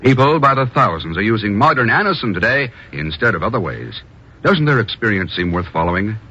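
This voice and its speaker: An American man announcing in formal, emphatic 1950s radio style, delivering scripted sales copy and narration in measured phrases. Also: mid-range voice, pushed and bright, slightly rough. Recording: muffled old radio broadcast.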